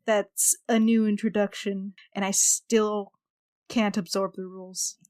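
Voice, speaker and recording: A woman's voice: mid-range voice, flat delivery, a little slow, darkish tone. A woman talking casually as one of the panelists on a role-playing podcast. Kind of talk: casual chat.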